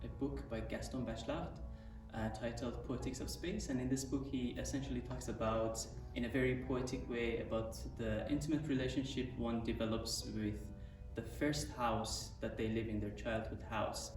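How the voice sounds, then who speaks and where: A man's voice: mid-range voice, steady tone, moderate pace. A man composer who grew up in Iran, explaining his piece in a reflective, thoughtful way. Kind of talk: interview talk. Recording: roomy echo from far off with music.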